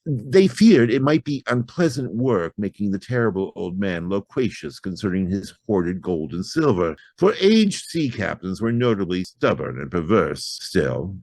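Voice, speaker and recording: A man reading a horror story aloud as an audiobook narrator, with theatrical, expressive delivery. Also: low voice, creaky, no room sound.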